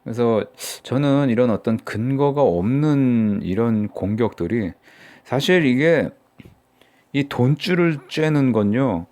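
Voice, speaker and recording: A man's voice: medium pitch, lively intonation, takes it slowly, mellow. A middle-aged man from Seoul giving casual, mocking political commentary for his own channel, rambling with many fillers. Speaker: political YouTuber.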